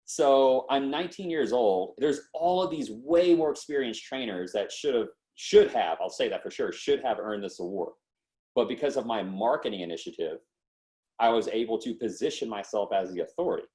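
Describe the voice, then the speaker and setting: A man's voice: medium pitch, normal speed. A man in his twenties, a podcast guest, telling his story in a casual, slangy way and acting out what people said. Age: 20s. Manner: lively, slangy, acts out quotes.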